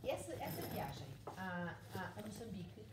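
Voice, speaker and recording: A woman's voice: mid-range voice, normal speed. Emphatic woman in an echoey bookshop. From Mozambique. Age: 40s. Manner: emphatic and repetitive, animated storytelling. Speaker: author guest. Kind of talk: conversation at a live book event. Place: bookshop event room. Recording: echoey room, far mic, noisy.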